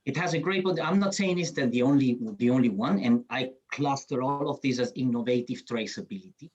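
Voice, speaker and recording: A man's voice: medium pitch, brisk, darkish tone, lo-fi sound. A man from Peru speaking on a conference panel, hesitant, with many fillers and restarts. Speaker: panelist, certification program CEO.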